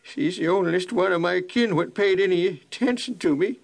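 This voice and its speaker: A man's voice: sits high, creaky. An actor playing a humble, plaintive old grandfather with a rural American accent in a children's radio drama. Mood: grieving. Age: older. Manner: plaintive, homespun, halting. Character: grieving, humble, proud old country man.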